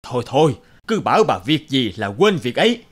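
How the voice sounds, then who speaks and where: An actor playing a pompous, stubborn, self-important rich village man in an animated folktale. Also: mid-range voice, fairly quick. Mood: boastful, impatient.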